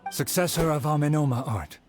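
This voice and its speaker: A man's voice: fairly high pitch, a little fast, a little mellow, soft background music, close to an everyday voice. A man voicing a polite, humble, solemn young swordsmith in an anime-style game.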